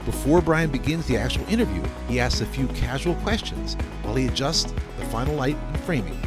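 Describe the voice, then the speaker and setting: A man's voice: medium pitch, lively intonation, brisk, loud music behind the voice. A man narrating a scripted instructional video as the instructor.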